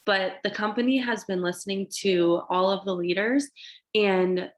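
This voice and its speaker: Woman, twenties, stuffy nose, excited, rambling, video call, motivational team talk. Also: mid-range voice, unhurried. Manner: excited, rambling, addresses "you guys" often. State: stuffy from allergies. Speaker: direct sales team leader.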